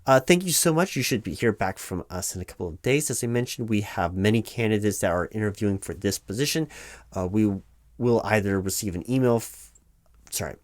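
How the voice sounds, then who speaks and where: Guy acting as a flustered office hiring manager, lowish voice, polite but stammery, comedy interview roleplay, keyboard clacking. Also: expressive, brisk, natural voice. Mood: relieved, businesslike. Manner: hesitant, stammering, distracted, politely evasive. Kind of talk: one-sided comedic job interview audio roleplay. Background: computer keyboard typing, tapping.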